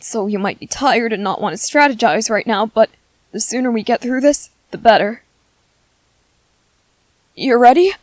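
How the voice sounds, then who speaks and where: A woman voicing a gentle, shrewd teenage boy soldier in an intimate ASMR roleplay, speaking thoughtfully and hesitantly, as if quietly plotting. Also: medium pitch, dry recording, natural voice. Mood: tentative. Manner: hesitant, thoughtful, halting phrasing with soft ums. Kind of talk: ASMR character roleplay, intimate one-sided dialogue.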